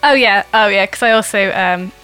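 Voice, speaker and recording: A woman's voice: medium pitch, normal speed, pushed and bright, clear, resonant tone, faint music behind. A woman chatting casually and jokily as a comedy guest. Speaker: guest comedian and podcast host. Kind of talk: casual jokey chat.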